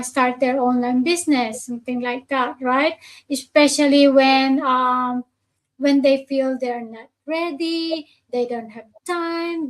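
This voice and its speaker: A woman's voice: high-pitched, low-quality recording. A woman from the Philippines hosting a live online conversation, talking hesitantly with many fillers and restarts. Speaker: host of a live online chat. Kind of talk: casual live stream conversation.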